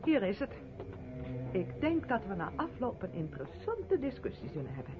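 A woman's voice: mid-range voice, big pitch swings, normal speed, over music. An actress playing an earnest, assured woman who directs an amateur theatre group, in 1970s radio play style. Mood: brisk. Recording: muffled, noisy old radio recording.

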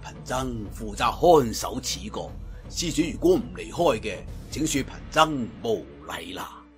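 A man's voice: mid-range voice, expressive, unhurried, faint music behind, voice pushed down. A man with a Cantonese accent voicing a grave, courteous senior Shaolin monk in a storybook, speaking formally and solemnly. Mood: stern. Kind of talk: storybook character voice.

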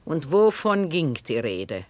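Slow, musing woman, lilting and probing. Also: sits low, very animated, full character voice. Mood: calm, probing. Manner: slow, deliberate, lyrical, gently insistent. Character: calm, musing, probing listener absorbed in knitting. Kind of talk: literary radio drama acting.

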